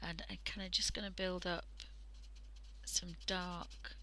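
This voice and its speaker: A woman with a croaky voice from a cold, chatting casually through a drawing tutorial on a headset mic and often dropping into half-whispers. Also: lowish voice, little pitch movement, takes it slowly, pushed and bright, slight background noise. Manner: chatty, thinking aloud, drifting into half-whispers. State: hoarse from a cold. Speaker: art livestream host.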